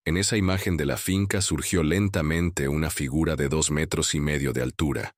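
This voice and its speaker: A man with an extremely deep, low voice narrates horror tales in a grave, measured way. The recording is dry and close, with no audible room. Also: steady tone, dry recording. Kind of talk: scripted horror storytelling.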